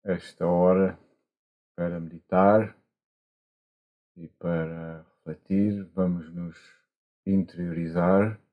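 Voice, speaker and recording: A man with a European Portuguese accent, a monk guiding a meditation gently and haltingly, with long pauses, over a video call. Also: low-pitched, flat delivery. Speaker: Buddhist monk, meditation teacher. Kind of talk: guided meditation instruction. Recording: muffled, dull online call audio.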